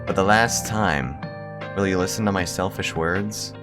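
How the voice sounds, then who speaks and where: A man reading the part of a solemn, devoted high-school girl in a visual novel, halting and pleading. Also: over music. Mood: earnest pleading. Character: lovelorn, formal high-school girl, quietly devoted and tragic. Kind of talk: visual novel dialogue read aloud.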